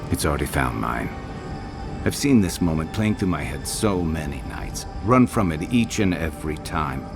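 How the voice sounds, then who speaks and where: A haunted, world-weary old campaigner from a fantasy game. His voice is extremely low and full of gravel, wry yet heavy with grief. Music plays behind him. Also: expressive, moderate pace, full character voice. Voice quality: very deep, gravelly. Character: gruff haunted veteran adventurer, grieving and weary. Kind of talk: video game character acting.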